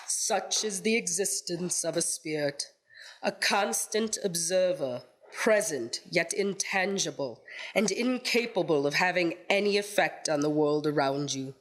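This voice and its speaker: A woman playing a solemn, knowing ghostly guide in a radio play, speaking formally and gravely. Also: on the low side, a little slow, rough recording. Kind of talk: theatrical community radio play acting.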